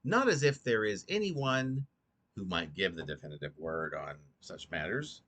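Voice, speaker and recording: A man reading his own poem aloud in an earnest, rhetorical, dramatic recitation with a halting delivery. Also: deep voice, expressive, a bit bright.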